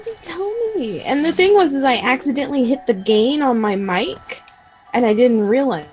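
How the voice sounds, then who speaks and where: A woman streamer chatting casually with co-streamers, quick and animated, with lots of fillers. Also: high-pitched, faint music behind.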